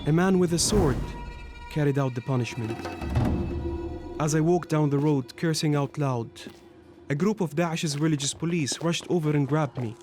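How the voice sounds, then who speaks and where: Man acting as somber activist, slightly high voice, grave measured storytelling, radio diary narration, clear music bed. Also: close to an everyday voice. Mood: tense, grave. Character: Syrian activist recounting life under occupation, somber. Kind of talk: scripted first-person narration, radio documentary.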